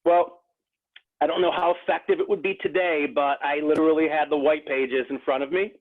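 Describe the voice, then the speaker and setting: A man's voice: fairly high pitch, steady tone, brisk. Earnest man on a tinny phone line. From Rochester, New York area. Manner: earnest, frequent restarts and fillers. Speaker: real estate agent. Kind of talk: casual interview talk.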